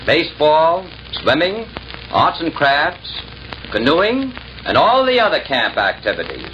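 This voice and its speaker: An actor playing a genial, avuncular camp director who hems and hesitates in a 1940s radio show. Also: male voice, on the high side, sing-song, a little slow. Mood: genial, instructive. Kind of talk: 1940s radio comedy acting. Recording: muffled, faintly noisy 1940s radio broadcast.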